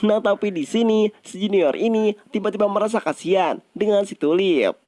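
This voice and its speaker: A man's voice: high voice, normal speed, warm tone. A man narrates a film recap in a casual, scripted style, addressing viewers directly in colloquial speech. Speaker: movie recap host.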